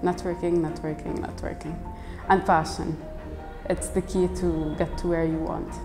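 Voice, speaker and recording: A woman's voice: fairly low pitch, flat delivery, normal speed. A woman in her early twenties from Lebanon, giving an interview testimonial as a student. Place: indoors against a plain wall and banner. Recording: reverberant hall, music underneath. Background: clear background music.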